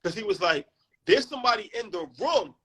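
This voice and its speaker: A male podcast host tells stories in quick, animated bursts. His voice is fairly high, with a crisp, forceful brightness. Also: rough recording. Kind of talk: casual conversation.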